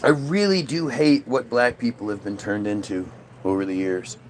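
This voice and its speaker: A man's voice: medium pitch, steady tone, normal speed, slight background noise. A man in a casual, combative political rant, dismissive and sweary as he answers chat comments. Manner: frequent swearing.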